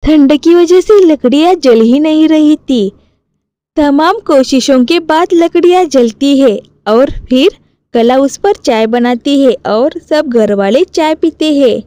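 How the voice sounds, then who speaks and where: A woman's voice: high voice, takes it slowly, mellow, no echo. A woman reading scripted narration for a cartoon family story.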